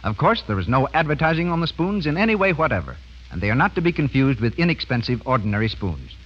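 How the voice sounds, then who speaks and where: A man's voice: medium pitch, big pitch swings, quick pace. An American man announcing radio advertising in a formal, emphatic 1930s style, enunciating carefully. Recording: muffled 1930s radio broadcast recording.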